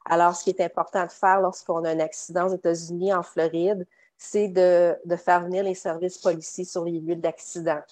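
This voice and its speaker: Measured woman, lowish voice. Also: level tone, a little mellow. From Quebec. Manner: measured, explanatory, many fillers. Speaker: guest lawyer. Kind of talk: expert explanation in a podcast interview.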